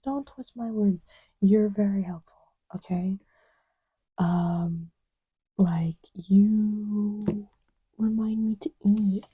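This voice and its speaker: A woman acts out a playful young girlfriend in an intimate audio roleplay. Her voice is very dark and mellow, with a breathy softness. She murmurs haltingly and unhurriedly, teasing while half absorbed in what she is doing, and sounds close and dry. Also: mid-range voice, no room sound, muffled recording, exaggerated voice. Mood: soft, fond.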